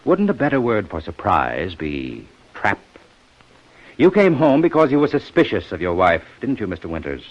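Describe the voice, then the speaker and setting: A man's voice: lowish voice, huge pitch swings, normal speed. A man playing a shrewd, sardonic police inspector in a 1950s radio detective drama, with a dry, probing manner. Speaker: radio actor. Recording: muffled old-time radio broadcast recording.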